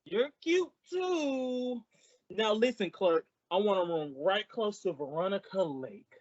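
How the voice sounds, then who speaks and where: A performer playing a childlike, wisecracking, excitable male comedian sidekick in a 1940s-style radio comedy. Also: mid-range voice, slow pace, dry recording, dull audio. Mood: puzzled, wisecracking. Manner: exclamatory, wisecracking.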